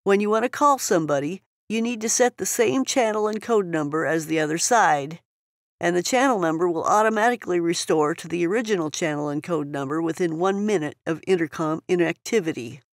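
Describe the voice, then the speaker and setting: A woman's voice: fairly low pitch, brisk, no room sound, clean studio sound. A woman reading scripted instructional narration for a product tutorial.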